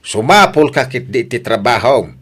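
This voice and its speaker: A man's voice: mid-range voice, expressive, normal speed, a bit bright. A man with an Ilocano Filipino accent playing a stern middle-aged father who lectures reproachfully in an audio drama. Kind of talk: radio drama acting.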